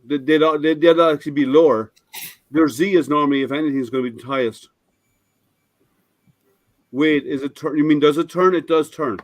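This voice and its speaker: A man's voice: on the high side, brisk, pauses often, dry recording. An older man hosting a casual live stream, his voice a little scratchy, explaining his printer with many restarts and fillers. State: slightly scratchy voice.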